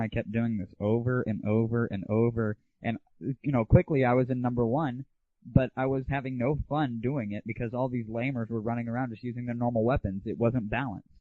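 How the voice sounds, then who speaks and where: A man's voice: medium pitch, no room sound. A man hosting a gaming audio show, giving casual, slangy, opinionated and irreverent reviews. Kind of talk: casual solo rant and game review. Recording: narrow, phone-like 1990s internet audio.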